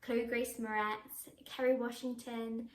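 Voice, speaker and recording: A teenage girl talks about her acting work, bubbly and eager. Her voice sits high. The room around her adds a noticeable echo. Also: level tone, a little slow. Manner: bubbly, enthusiastic, with frequent laughs. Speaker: young actress. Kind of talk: answering interview questions to camera. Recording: echoey room.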